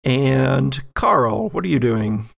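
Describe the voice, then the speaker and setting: Male game master, slow-paced storyteller with vocal fry. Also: medium pitch, big pitch swings, fairly bright tone, no room sound, clean studio sound. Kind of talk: narrating a tabletop horror game.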